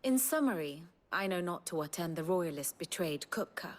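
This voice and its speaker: A woman playing a composed, wry scholarly strategist in a video game, speaking in a measured, eloquent, theatrical way. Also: lowish voice, animated, lightly acted. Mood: grave, lecturing. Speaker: strategist and lorekeeper. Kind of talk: dramatic video game acting, lecturing. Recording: music behind the voice.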